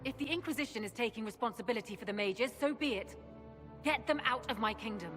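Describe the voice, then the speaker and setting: A woman performs a regal, unyielding queen for a game cutscene. Her voice sits fairly high and rings in a reverberant hall, with cold, formal diction. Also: quick pace, music in the background, natural voice. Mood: stern, cold. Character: stern, imperious queen, unyielding and offended. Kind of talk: video game cutscene acting.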